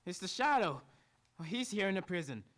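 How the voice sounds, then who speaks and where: A cornered convict in a radio thriller, played by a man. His voice runs fairly high and sounds frantic. He pleads and protests in panic. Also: big pitch swings, a little fast, fairly bright tone. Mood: frantic fear. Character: panicked, cornered male criminal in prison. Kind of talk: live old-time radio drama acting.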